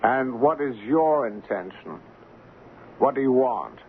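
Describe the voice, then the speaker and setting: An actor playing a haughty, callous shipping company boss with a formal, condescending manner in an old-time radio drama. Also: male voice, medium pitch, animated, takes it slowly. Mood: tense, indignant. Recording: lo-fi, noisy radio drama recording.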